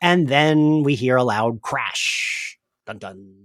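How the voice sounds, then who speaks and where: A man hosting a podcast recap in a casual, giggly, animated way, with the odd swear word. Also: mid-range voice, takes it slowly, no echo, studio quality. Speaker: podcast host.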